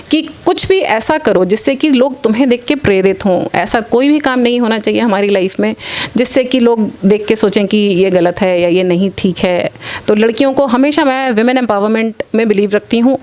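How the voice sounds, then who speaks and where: A woman's voice: fairly high pitch, darkish tone. A woman in her late forties answering interview questions earnestly, mixing English phrases into her speech. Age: late 40s. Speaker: interview guest, athlete and former air force officer.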